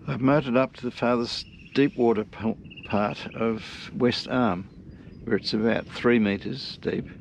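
A man narrating his solo sailing trip from a small wooden boat outdoors, talking in an unhurried, reflective way with wry asides. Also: medium pitch, fairly even intonation, vocal fry, slight background noise. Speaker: sailing vlogger. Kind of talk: casual first-person narration while sailing.

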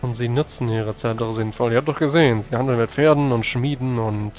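A man's voice: medium pitch, brisk, darkish tone, highs cut off, close to an everyday voice. A man playing a dry, world-weary warrior of a religious order in a tabletop roleplay, speaking bluntly. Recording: music behind.

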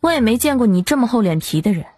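A woman's voice: on the high side, very animated, rapid-fire, dark tone, slightly rough, no room sound, faint music behind. A woman playing a cold, haughty young female executive in an audiobook, curt and mocking.

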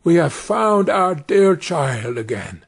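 A devoted woodman father from a fairy tale, as a man reads him aloud, speaking with earnest, heartfelt feeling. His lines come slowly, in a lively and slightly husky voice. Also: a little high, exaggerated voice. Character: earnest old woodman father, loving and relieved.

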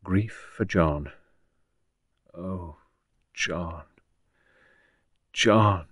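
A man's voice: fairly low pitch, expressive, normal speed, no echo, studio quality. A man voicing an earnest, kindly woman in an audiobook, polite and emotional in manner. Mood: grieving, subdued. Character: earnest, kindly, grieving woman, friend of the late doctor. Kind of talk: audiobook character dialogue.

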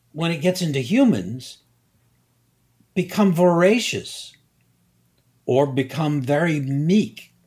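An older man, a guest scientist, explaining slowly and deliberately, pausing and repeating words as he thinks. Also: on the high side, a little mellow. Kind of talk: unhurried expert explanation.

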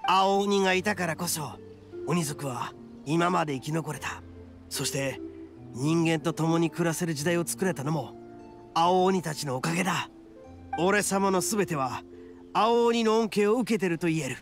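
A young male oni gang boss from an anime game, swaggering and big-hearted. His voice is high for a man and full of gravel. He talks rough and proud, over background music. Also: unhurried. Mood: earnest, proud. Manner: swaggering, rough colloquial speech. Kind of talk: Japanese anime game voice acting.

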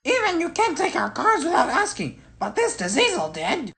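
A woman's voice: sits high, huge pitch swings, moderate pace, vocal fry, fairly bright tone, heavily acted. A woman playing cheeky, squabbling young male twin tank engines in a children's story, with indignant, childlike protests. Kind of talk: children's storybook character acting.

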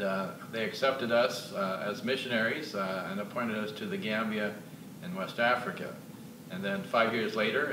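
Older Canadian-raised missionary, low voice, hesitant, heard from across a roomy church lounge with hiss. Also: male voice. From Canada, Alberta. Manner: hesitant, many filler uhs. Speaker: missionary guest. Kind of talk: casual interview testimony. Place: church lounge with sofas, indoors. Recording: roomy echo, distant mic, clear hiss.

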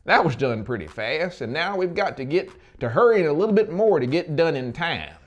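A man's voice: on the high side, animated, moderate pace, muffled recording, pitched above the performer's own voice. A man voicing a folksy, businesslike small-town official who gives commands in an audiobook.

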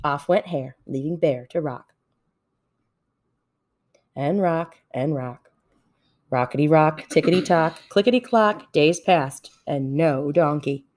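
A woman reading a children's story aloud with expressive narration. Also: low-pitched, slow, long pauses. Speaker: storybook reader.

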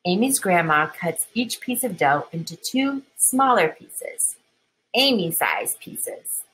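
Female children's storybook reader, unhurried and expressive, with a crisp bright tone and slightly husky edge. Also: fairly low pitch, rough recording. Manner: expressive, deliberate, with emphasized key words. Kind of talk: children's picture book read-aloud.

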